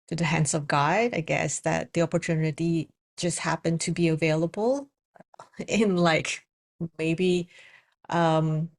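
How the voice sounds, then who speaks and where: A woman with an Indonesian accent, a spiritual coach and psychic reader, talking casually to her viewers with many pauses and fillers. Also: low-pitched. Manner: hesitant, many fillers and restarts. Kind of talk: casual spoken video message.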